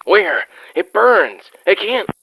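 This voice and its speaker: A man playing a panicky trapped scientist, strained and halting, in an audio drama. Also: high voice, big pitch swings, vocal fry, pushed and bright, slight background noise, heavily acted, rough recording. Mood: panicked. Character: panicky trapped male scientist, reporting from inside a giant creature.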